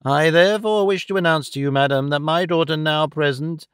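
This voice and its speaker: A man voicing a pompous, indignant middle-aged gentleman father in an audiobook, halting and hemming through grandiose sentences. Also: on the high side, clear, resonant tone, dry recording, clean studio sound, natural voice. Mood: stately, halting. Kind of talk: audiobook character acting of a Victorian novel.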